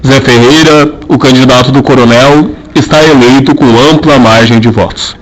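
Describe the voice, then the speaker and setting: A man narrating a radio drama in a formal, measured storytelling manner. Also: medium pitch, fairly even intonation, low-quality recording.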